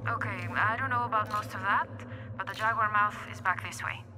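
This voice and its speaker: A woman's voice: mid-range voice, fairly quick, pushed and bright, a little hiss or hum. An actress playing a wry, practical woman who works as a local guide in a game cutscene. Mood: matter-of-fact. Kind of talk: naturalistic game cutscene acting.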